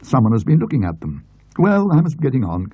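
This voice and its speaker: Narrator as a breezy, lively English gentleman. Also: mid-range voice, quick pace, breathy edge, voice pushed up. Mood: brisk, sociable. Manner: breezy, talkative, rambling. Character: chatty, self-absorbed bearded gentleman book-hunter. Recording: muffled, roomy old audio recording.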